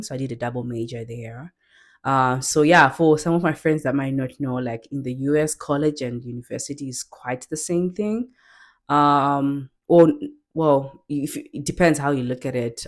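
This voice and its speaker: A young adult woman introducing herself on her new channel, talking earnestly and unhurriedly with frequent pauses and restarts. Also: very deep voice, a little mellow. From Zimbabwe. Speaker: YouTuber. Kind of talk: casual self-introduction.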